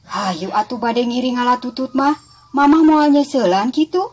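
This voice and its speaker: Woman as a caring village mother, storybook character, motherly, practical, gently bossy, high voice. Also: lively intonation, moderate pace, breathy edge. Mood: kindly inviting. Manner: motherly, instructing.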